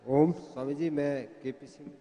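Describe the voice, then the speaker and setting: Deferential man with a mellow, highish voice. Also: fairly even intonation, unhurried. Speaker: camp participant giving a testimonial. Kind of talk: devotional personal testimonial. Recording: reverberant hall.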